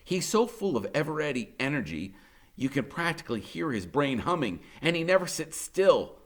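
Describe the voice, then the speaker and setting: A man reading a children's novel aloud in the first person, like a teacher, expressive and colloquial. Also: fairly low pitch.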